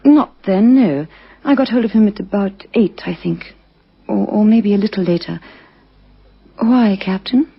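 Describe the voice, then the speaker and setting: An actress playing a bewildered, anxious widow, hesitant and faltering, in an old radio crime drama. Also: female voice, medium pitch, unhurried, gravelly, slightly rough. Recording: muffled vintage radio recording.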